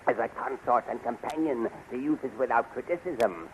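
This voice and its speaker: An actor playing an old, scheming royal magician in a 1930s radio serial, pompous, deferential and insinuating. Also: male voice, mid-range voice, normal speed, close to an everyday voice. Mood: obsequious, cautioning. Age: older. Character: smooth-talking old male royal magician, obsequious schemer. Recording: noisy 1930s radio recording.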